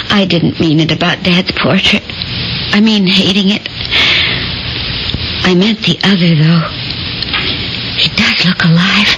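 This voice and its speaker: An actress playing an affectionate, anxious young woman, a kid sister, in earnest old-time radio drama style. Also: lowish voice. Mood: wistful. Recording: dull, noisy radio drama recording.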